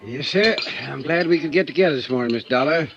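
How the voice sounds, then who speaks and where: An actor playing a folksy small-town police constable in a 1950s radio drama, drawling and unhurried. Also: male voice, fairly high pitch, animated, faint music behind. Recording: dull old radio recording.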